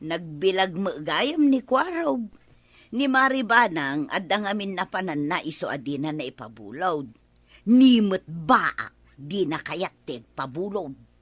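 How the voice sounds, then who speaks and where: An actress playing an easily miffed village neighbour in an Ilocano radio comedy drama, with expressive, drawn-out interjections. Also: female voice, on the low side, dry recording. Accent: Filipino, Ilocano. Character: village neighbour woman borrowing a drying mat, easily miffed.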